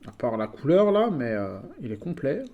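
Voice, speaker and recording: A man's voice: mid-range voice, sing-song, moderate pace, narrow, tinny audio. A man from France presenting his toy vehicle collection in a casual video, chatty and full of hesitations. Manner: chatty, hesitant with many fillers.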